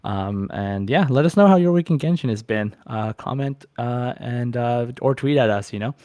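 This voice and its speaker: A man's voice: medium pitch, normal speed, darkish tone, no room sound, dull audio. Casual male podcast host, close dry sound. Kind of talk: casual gaming podcast chat.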